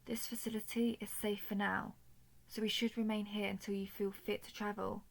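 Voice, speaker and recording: Woman acting as a stoic, creaky-voiced robot soldier. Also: medium pitch, little pitch movement, low-quality recording. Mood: calm, reassuring. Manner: formal, measured, emotionless delivery. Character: stoic, dutiful, protective female robot soldier. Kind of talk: scripted audio roleplay addressed to the listener.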